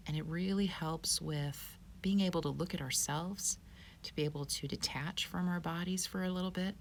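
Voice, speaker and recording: A woman teaching yoga, giving guided meditation instruction in a calm, soothing, unhurried manner. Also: deep voice, gravelly, a little hiss or hum.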